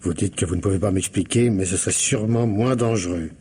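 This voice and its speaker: An actor playing a shrewd, courteous police commissioner in a radio mystery drama, husky and politely insinuating as he questions methodically. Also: sits low, fast, warm tone. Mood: knowing, gentle.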